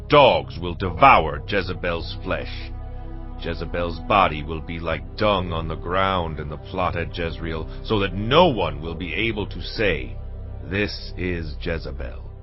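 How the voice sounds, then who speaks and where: An actor playing Jehu, a fierce and commanding warrior king, declaiming sternly in a dramatised audio Bible. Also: sits low. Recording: dull lo-fi audio with music.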